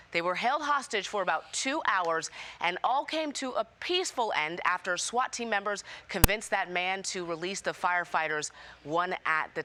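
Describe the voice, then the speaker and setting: A woman's voice: on the low side, noisy background. A woman delivering a broadcast news report.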